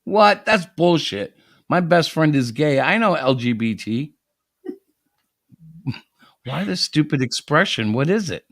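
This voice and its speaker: A man's voice: fairly high pitch, lively intonation, slow, pauses often, fairly bright tone, no echo, studio quality, exaggerated voice. A man playing a defensive, foul-mouthed screenwriter who rants indignantly in a dramatic story reading. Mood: indignant, bewildered. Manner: ranting, sweary, indignant. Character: defensive, foul-mouthed hotshot male screenwriter, easily outraged.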